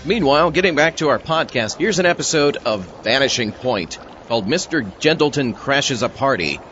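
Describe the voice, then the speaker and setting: A man's voice: medium pitch, animated, moderate pace, over music, close to an everyday voice. A man playing a doubtful sidekick who doubles as podcast host in a comedy audio drama. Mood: wry announcing. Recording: muffled, with background hum and noise.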